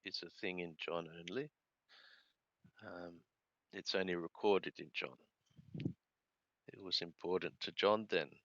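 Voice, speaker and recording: A man reading scripture aloud and translating it phrase by phrase, in a deliberate, halting way with careful enunciation. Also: sits low, fairly bright tone, dry recording, dull audio. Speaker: Bible reader and translator.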